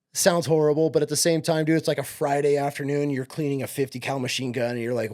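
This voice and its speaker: A man's voice: medium pitch, a little mellow. A man in his late thirties from upstate New York, telling stories as a podcast guest in a laid-back way, swearing and saying "dude" and "like" a lot. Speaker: podcast guest, Marine veteran. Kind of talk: casual interview storytelling.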